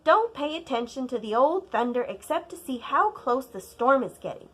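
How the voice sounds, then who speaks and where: A woman's voice: high-pitched, animated, moderate pace, slightly rough. A woman voicing a folksy, reassuring old grandmother in a storybook, coaxing and giving firm instructions. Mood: instructive. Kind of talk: storybook character voice.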